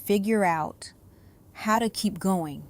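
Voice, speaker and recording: A woman playing a shattered, grieving survivor in an audio drama, subdued and halting. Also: medium pitch.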